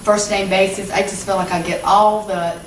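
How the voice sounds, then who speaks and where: A woman's voice: medium pitch, level tone, moderate pace, recorded from a distance. Woman in her fifties, echoey and off-mic. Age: 50s. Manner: conversational, hesitant with fillers. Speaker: patient giving a testimonial. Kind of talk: interview answers. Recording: echoey room, voice from off-mic, background noise.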